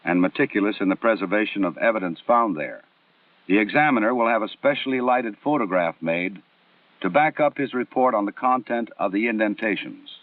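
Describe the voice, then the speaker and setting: An American man delivering formal, scripted training film narration in a measured, instructional way with precise enunciation, on a 1960s film soundtrack. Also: on the low side, no room sound, distant mic. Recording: muffled narrow-band 1960s film soundtrack.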